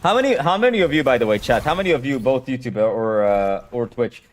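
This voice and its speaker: Man, native hebrew speaker, live-stream game coach, casual and direct, mid-pitched voice. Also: lively intonation, fairly quick. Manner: direct, answers chat questions.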